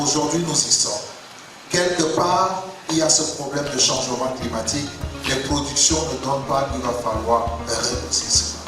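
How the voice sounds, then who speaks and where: A man from North Cameroon gives an earnest formal speech as a government representative, often repeating phrases and turning to address the mayor. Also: medium pitch. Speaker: government representative at a launch ceremony. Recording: echoey hall, distant mic, music behind.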